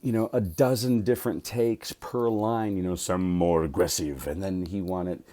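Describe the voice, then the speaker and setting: Voice actor, male, casual vlog chat; chatty and grateful, low-pitched and warm. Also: fairly even intonation, normal speed. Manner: rambling, many fillers and restarts. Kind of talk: casual vlog talk to camera.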